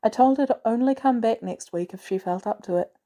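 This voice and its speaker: A woman voicing a poised, caring female executive with composed, gently teasing delivery in a story reading. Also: a little high, a little fast, lightly acted. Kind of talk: storybook character dialogue.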